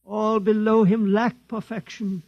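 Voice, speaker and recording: An actor playing a sanctimonious, greedy, easily frightened old priest in solemn 1950s radio drama style, with a cracked, husky voice. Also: male voice, sits high, little pitch movement, unhurried, a little mellow, muffled recording. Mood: solemn, pompous. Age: older. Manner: solemn, incantatory, stammering when challenged. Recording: 1950s radio broadcast recording.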